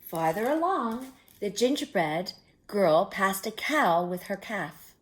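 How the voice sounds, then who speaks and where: Female children's storyteller, very animated and unhurried, teacherly picture-book read-aloud with simple asides. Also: mid-range voice. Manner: explains pictures simply, sometimes dropping to a hush.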